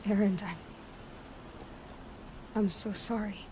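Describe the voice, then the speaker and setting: An actress playing an observant, compassionate young female adventurer in a video game, softly musing aloud. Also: mid-range voice, fairly even intonation, slow pace, natural voice. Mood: sympathetic, hushed. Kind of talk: dramatic video game acting. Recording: clear ambient noise behind.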